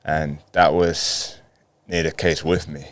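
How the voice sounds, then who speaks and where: A man's voice: a bass voice, level tone, takes it slowly, dry recording. A man in his thirties from Colombia, a native Spanish speaker, telling personal stories casually as an interview guest. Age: 30s. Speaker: podcast guest, footballer.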